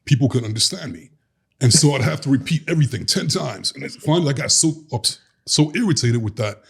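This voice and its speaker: Deep laid-back man from Brooklyn. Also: animated, a little fast. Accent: New York. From Brooklyn, New York. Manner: smooth, radio-host-like delivery. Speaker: guest, relationship coach. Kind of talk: casual podcast chat.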